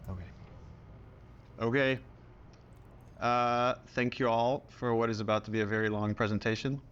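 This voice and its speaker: A man's voice: medium pitch, level tone, normal speed, a bit bright, smooth. A man giving a casual, chatty presentation to his studio team, with fillers. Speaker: game director presenting to a team. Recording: dull played-back documentary audio.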